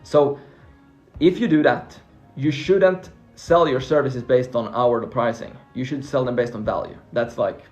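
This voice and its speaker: A man's voice: mid-range voice, a little slow, lo-fi sound. A man from Finland whose first language is Swedish, hosting a casual live Q&A about freelancing and answering viewer questions in a friendly, upbeat way. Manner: upbeat, repeats words and restarts while reading viewer comments. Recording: faint background music.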